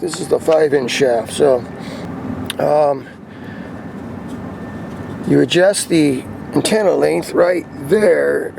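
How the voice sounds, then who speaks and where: High-pitched man with a gravelly creak. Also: background noise. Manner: rambling, thinking aloud. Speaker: vlogger demonstrating CB radio antennas. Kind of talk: casual hands-on vlog chat. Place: in and around a parked truck outdoors.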